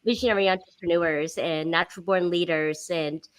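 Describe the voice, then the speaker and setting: A woman's voice: fairly low pitch, steady tone, moderate pace, clean tone. A woman from Canada introducing her coaching work on a panel, earnest and inspirational.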